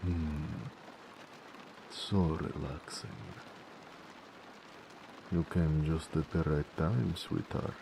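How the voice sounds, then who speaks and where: A man playing a devoted orc blacksmith in a romantic audio roleplay, deep and gravelly, tender yet possessive and stubborn, speaking slowly and earnestly. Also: steady tone, noisy background, full character voice. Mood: cozy, teasing.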